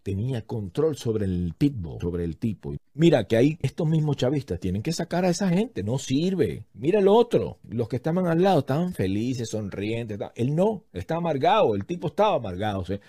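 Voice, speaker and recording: A man's voice: medium pitch, sing-song, a little fast, few pauses, dark tone, husky, no room sound. A man telling a story in a casual, comedic way, animatedly acting out what other people said.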